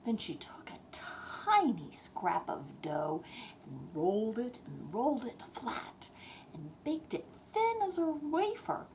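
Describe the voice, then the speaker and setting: An older woman tells a bedtime story in verse to children, with a breathy, often hushed, expressive delivery. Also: fairly high pitch, slow pace, slight background noise.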